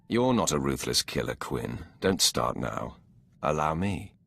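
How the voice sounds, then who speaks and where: An actor playing a cold, commanding Sith lord in a video game, speaking in a curt, imperious way. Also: male voice, extremely low pitch, very animated, a little slow, vocal fry, a bit bright, slightly rough, exaggerated voice.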